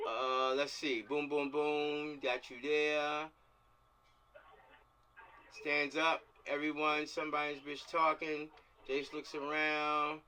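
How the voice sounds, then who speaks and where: Bright, higher-voiced man on a distant mic. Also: flat delivery, slow, smooth. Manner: slangy, repeats himself for emphasis. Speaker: filmmaker leading a script table read. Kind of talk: casual chat and directing actors. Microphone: video call. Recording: distant mic on video call.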